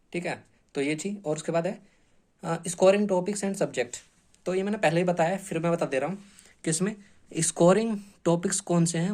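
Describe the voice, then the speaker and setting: A man's voice: on the high side, darkish tone. A man giving informal exam-prep instruction as a coaching teacher, explaining step by step with frequent short check-in tags and English terms mixed in. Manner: explanatory, repeatedly checks in with short tag phrases; mixes English terms into speech.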